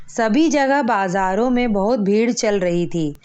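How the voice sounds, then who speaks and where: A woman's voice: medium pitch, expressive, unhurried, a little mellow, smooth. A woman narrating a scripted children's story as a storyteller.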